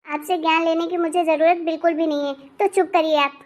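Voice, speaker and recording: A woman voicing a hot-tempered, demanding young married daughter in an animated family drama, with a sharp-tongued way of talking. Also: very high-pitched, brisk. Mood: angry, indignant.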